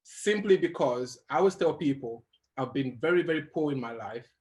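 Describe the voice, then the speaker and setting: A young adult man who grew up in Uganda, telling his life story as a guest in an interview, animated and laughing as he goes. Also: fairly high pitch, normal speed. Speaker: guest motivational speaker.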